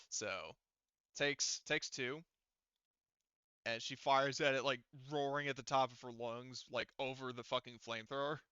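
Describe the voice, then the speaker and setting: A man's voice: mid-range voice, pushed and bright, clear, resonant tone, no echo, highs cut off. A man acting as game master in a casual tabletop game, narrating and working out rules aloud in an informal, sweary way. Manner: informal, profane.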